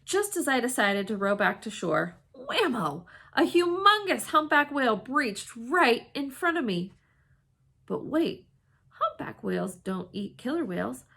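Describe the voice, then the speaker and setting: Animated woman reading a children's story aloud. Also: a little high, measured pace, gravelly. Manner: dramatic, with emphatic exclamations and hushed suspense. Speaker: storybook reader. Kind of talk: expressive children's read-aloud narration.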